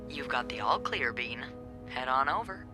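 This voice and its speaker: An actress playing a sardonic, playful receptionist in a 1950s hotel mystery game, with a wry, teasing, conspiratorial manner. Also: female voice, extremely low pitch, expressive, normal speed, music in the background. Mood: gossipy. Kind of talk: video game cutscene acting.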